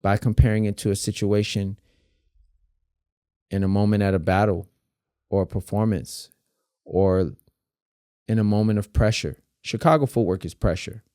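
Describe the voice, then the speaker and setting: A man speaks as a podcast guest in a low, mellow voice. He talks in an animated, emphatic way and builds each point through vivid comparisons. The sound is dry and close to the mic. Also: moderate pace, long pauses, no echo. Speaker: dance teacher and battle judge, guest. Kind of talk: casual conversational interview.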